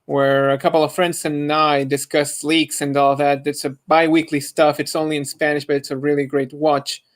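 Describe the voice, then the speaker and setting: A man's voice: a little high, level tone, normal speed. A young man talking casually with friends as a member of a podcast. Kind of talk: casual group chat.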